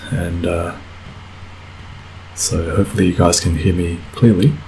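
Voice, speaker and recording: Male gamer, sick and croaky, very deep voice, rambling let's play talk, slight background hiss. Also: little pitch movement, measured pace, mellow, poor audio. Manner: rambling, self-correcting, frequent fillers. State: croaky voice from a cold. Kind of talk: casual gameplay commentary.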